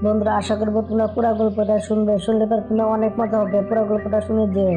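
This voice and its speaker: Female cartoon storyteller, quick delivery with little melodic movement, music underneath. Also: mid-range voice, monotone, phone-quality sound. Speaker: narrator. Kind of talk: children's cartoon story narration. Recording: music behind the voice.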